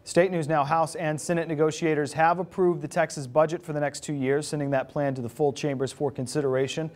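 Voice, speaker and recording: A man's voice: a little high, flat delivery, a little fast, darkish tone. A man reading scripted news as a television anchor. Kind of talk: scripted newscast delivery.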